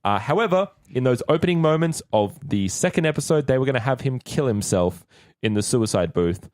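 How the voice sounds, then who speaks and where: A man co-hosting a podcast in casual conversation. His mid-pitched voice moves around in a lively, animated way, and the sound is close and dry. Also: a little fast, dry recording. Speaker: podcast co-host. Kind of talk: casual chat.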